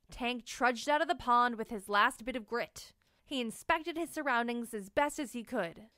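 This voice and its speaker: A woman narrating a children's picture book in an expressive storytelling manner. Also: fairly high pitch, dry recording, clean studio sound. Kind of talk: children's read-aloud narration.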